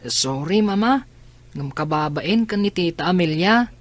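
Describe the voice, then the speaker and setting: A woman's voice: lowish voice, lively intonation, measured pace, no room sound. A Filipino woman playing an unhappy, reluctant young son in a radio drama. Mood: apologetic.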